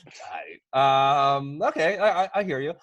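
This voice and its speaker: A man's voice: high-pitched, normal speed, dry recording, muffled recording. Man hosting a podcast, dry close sound. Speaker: podcast host. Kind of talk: casual podcast discussion.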